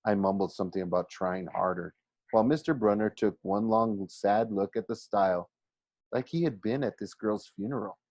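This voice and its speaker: A man reading a children's novel aloud like a teacher to a class, expressive but halting, often going back over phrases. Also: lowish voice, no echo. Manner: halting, stumbles and rereads phrases.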